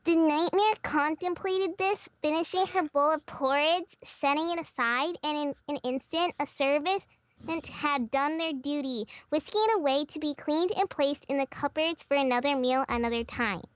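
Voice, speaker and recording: A woman's voice: high-pitched, moderate pace. A woman reading a story aloud as an amateur narrator on a podcast, dropping in small asides. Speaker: podcast reader.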